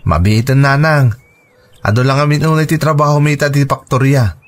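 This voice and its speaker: An actor playing a dutiful, hardworking adult son in an Ilocano radio drama, respectful and reassuring, with a Filipino Ilocano accent. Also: male voice, mid-range voice, fairly quick, natural voice. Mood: affectionate.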